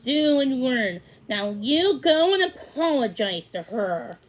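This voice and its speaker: A woman voicing a stern, motherly sheep nanny in a children's storybook, gently scolding in a measured way. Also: high-pitched, lively intonation, full character voice, rough recording. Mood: disappointed. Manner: admonishing, measured. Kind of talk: children's storybook read-aloud.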